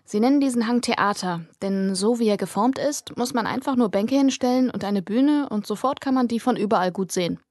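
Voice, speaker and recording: A woman's voice: fairly high pitch, brisk, few pauses, darkish tone, no room sound, studio quality. A woman reading a scripted story as an audiobook narrator. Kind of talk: scripted fiction narration, present tense.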